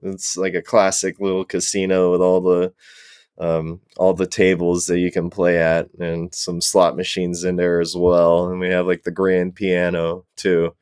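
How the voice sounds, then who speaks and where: A man's voice: sits low, monotone, measured pace, darkish tone, clean tone, dry recording, studio quality. A man chatting casually as a guest on a panel, describing things in an informal way. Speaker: podcast guest, cosplay prop maker. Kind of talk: casual chat.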